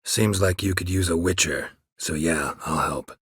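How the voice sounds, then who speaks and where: A man voicing a gruff, stoic, dry-witted monster hunter in a video game, in a husky rasp with a terse, deadpan manner. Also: low-pitched, normal speed, no echo, heavily acted. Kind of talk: video game character dialogue.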